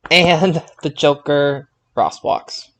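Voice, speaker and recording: Halting male podcast host, casual chat. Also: fairly high pitch, a bit bright. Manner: halting, repeats words while searching for phrasing.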